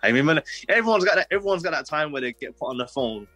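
A man's voice: a little high, expressive, fast talker, bright, forceful tone, husky, no echo, soft background music. A young man in his twenties telling personal stories casually, rambling with lots of fillers and street slang. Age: 20s.

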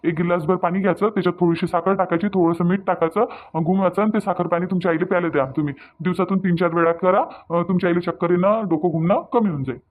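Guy doing friendly cartoon village doctor, high quick voice, varhadi marathi accent, reassuring, tinny phone sound. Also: fairly even intonation, lightly acted. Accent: Varhadi, Vidarbha Marathi. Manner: folksy, reassuring, repetitive. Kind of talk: cartoon dialogue.

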